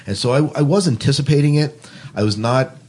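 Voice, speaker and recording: A man's voice: mid-range voice, fairly quick, a little mellow, husky. A man hosting a casual film discussion, putting questions and giving his own opinions. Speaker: podcast host.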